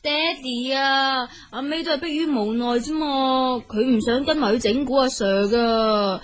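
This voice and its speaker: A woman's voice: high voice, measured pace. A woman playing a teenage schoolgirl in a radio drama, coaxing and pleading, with a Hong Kong Cantonese accent. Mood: earnest, defensive. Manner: coaxing, whiny pleading with her parents. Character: pleading teenage schoolgirl, loyal to her friends.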